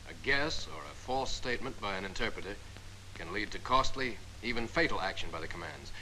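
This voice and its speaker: An actor playing a stern, principled Army major in a 1940s training film, speaking with lecturing authority. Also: male voice, fairly low pitch, animated, a little fast, vocal fry, sharp tone, heavily acted, low-quality recording. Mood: authoritative. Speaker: Major Dean. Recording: 1940s film soundtrack with faint hiss.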